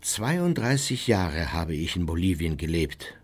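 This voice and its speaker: A man's voice: low voice, very animated, unhurried, husky, heavily acted. An actor playing a self-justifying older Nazi war criminal reciting his memoir in a formal radio play monologue. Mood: self-righteous, defensive.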